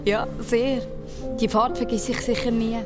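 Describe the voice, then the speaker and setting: Actress as cheerful romantic young Swiss woman, high-pitched. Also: level tone, unhurried, lightly acted. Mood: dreamy, happy. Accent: Swiss German. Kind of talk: children's radio play acting. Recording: music behind the voice.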